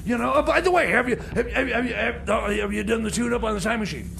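A man's voice: extremely high pitch, fast talker, creaky, fairly bright tone, a little hiss or hum, heavily acted. A man playing a flustered, defensive rookie host in radio comedy, stammering and repeating himself as he hesitantly rambles. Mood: agitated. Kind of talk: comedy radio theater, live music-show hosting.

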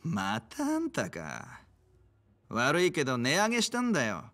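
An actor playing a stubborn, dismissive pawnshop clerk in a video game, sneering and flippant. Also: male voice, fairly high pitch, huge pitch swings, a little slow, fairly bright tone, full character voice. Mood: smug, dismissive. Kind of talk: video game cutscene acting.

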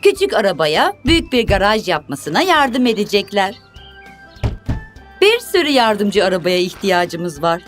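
A woman's voice: mid-range voice, big pitch swings, a little slow. A woman narrating a children's cartoon, speaking expressively in simple, instructive phrases as if to young viewers and characters. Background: music.

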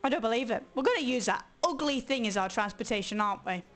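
Hoarse woman streaming a game. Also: fairly high pitch, lively intonation, fast talker, fairly bright tone, faint music behind. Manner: chatty, thinks aloud, grumbles playfully. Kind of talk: casual let's-play commentary.

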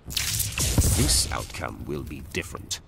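An actor playing an arrogant fallen elder god, a haughty, menacing dark lord in a video game. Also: male voice, extremely low pitch, big pitch swings, vocal fry, over loud music, full character voice. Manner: slow, haughty, menacing pronouncements. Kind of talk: video game villain voice acting.

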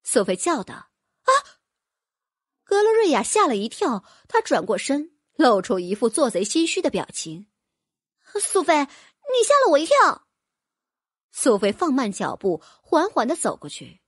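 A woman narrating a fantasy audiobook in an expressive storytelling manner. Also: fairly high pitch, normal speed, few pauses, husky, no echo, clean studio sound. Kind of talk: scripted narration.

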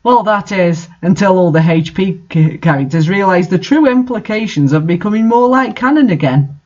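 A man with a notably high voice reads amateur fiction aloud. His delivery is theatrical and wry, and the recording is a bit dull and lo-fi. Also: lively intonation, normal speed, a little mellow. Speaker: host reading fan fiction aloud. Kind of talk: dramatic reading with casual asides.

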